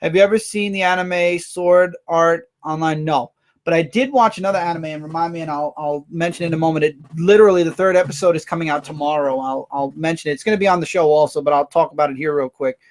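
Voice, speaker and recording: A man hosting a casual livestream, rambling through viewer comments with quick asides. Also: fairly high pitch. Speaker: livestream host.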